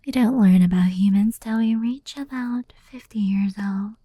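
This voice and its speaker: A woman playing a gentle fox-demon yokai in an ASMR roleplay, telling stories slowly and intimately in a soft, breathy whisper. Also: medium pitch, no echo, close to an everyday voice. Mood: hushed storytelling. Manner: slow, intimate, unhurried.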